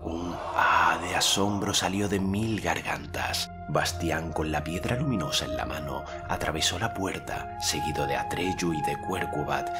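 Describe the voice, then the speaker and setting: Deep voiced guy reading a fantasy audiobook, calm and measured. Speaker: audiobook narrator. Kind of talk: scripted audiobook narration.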